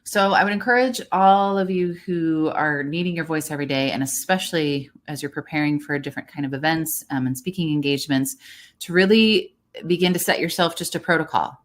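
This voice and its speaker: A woman's voice: sits low, brisk. A woman speaking as a voice coach, giving encouraging, explanatory advice in a conversational way. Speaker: voice coach, guest expert. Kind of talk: conversational expert advice in a livestream.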